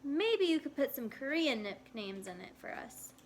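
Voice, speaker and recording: Woman putting on a high, bashful schoolboy. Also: sing-song, moderate pace, a little hiss or hum. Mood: kind. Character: friendly, bashful young schoolboy.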